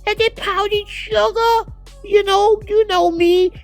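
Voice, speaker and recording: A man voicing a hyped, giddy woman in falsetto, excitable and drawn out, as a comic impression in a drama recap. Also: faint music behind, exaggerated voice. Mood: giddy, defensive. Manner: excitable, drawn-out squealing delivery.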